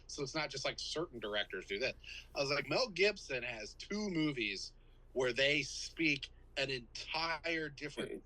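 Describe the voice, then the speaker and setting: A man's voice: medium pitch, animated, normal speed, pushed and bright, rough recording. A man co-hosting a podcast, rambling casually about movies with lots of fillers. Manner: rambling, filler-heavy, self-deprecating. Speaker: podcast co-host.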